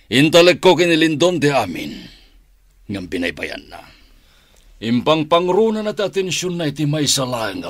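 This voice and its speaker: Radio drama husband: moneyed, boastful, indulgent schemer, northern Philippine accent, husky voice, dry close recording. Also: male voice, mid-range voice, no echo, exaggerated voice. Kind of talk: Ilocano radio drama acting.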